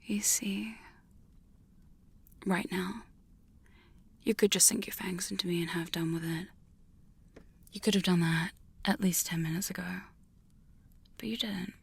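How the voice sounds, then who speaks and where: A woman playing a defiant, sly female vampire hunter in an ASMR roleplay, speaking close to the mic in a breathy, whispery, teasing voice. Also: on the low side, fairly even intonation, full character voice. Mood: coaxing, teasing. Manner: taunting, intimate, drawn-out phrasing with sudden firmer lines. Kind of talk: ASMR hypnosis roleplay, second-person. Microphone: close-up studio condenser and binaural microphone.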